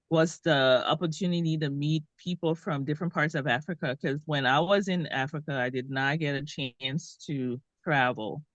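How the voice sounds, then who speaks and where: A woman from Liberia, a guest author in an interview, speaking thoughtfully with many pauses. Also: low-pitched, no echo, lo-fi sound. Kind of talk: conversational interview.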